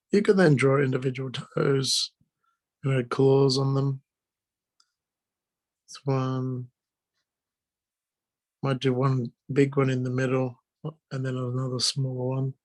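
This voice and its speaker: A man with a hoarse, strained voice from being sick, informally explaining drawing step by step as a teacher on a live video call. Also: mid-range voice, little pitch movement, slow pace, pauses often, darkish tone. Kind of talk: casual live online drawing lesson, talking while drawing.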